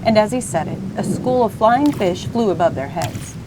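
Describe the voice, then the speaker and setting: A woman reading a picture book aloud as an expressive storyteller. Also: medium pitch, moderate pace, background noise.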